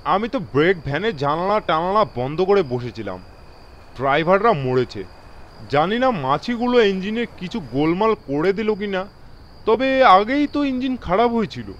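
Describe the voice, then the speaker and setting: A man's voice: high voice, sing-song, moderate pace, dull audio. A man voicing an alarmed, authoritative railway guard in a horror audio story, speaking emphatically and mixing in English phrases. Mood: disturbed. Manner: emphatic, mixes English phrases into his speech.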